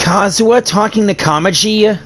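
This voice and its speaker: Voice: medium pitch, normal speed, a bit bright, a little hiss or hum. A gameplay narrator reading on-screen dialogue and adding short comments. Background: faint music.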